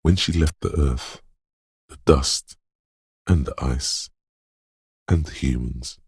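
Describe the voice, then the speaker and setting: Game inner voice: dreamlike and foreboding, rock-bottom bass with heavy gravel, hushed and intimate, cryptic dramatic narration. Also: male voice, dry recording, clean studio sound, full character voice. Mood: mournful, haunted. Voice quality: very deep, gravelly, husky. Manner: slow, hushed, ominous, cryptic second-person pronouncements with dramatic pauses. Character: eerie inner voice of intuition, dreamlike, brooding, intimate.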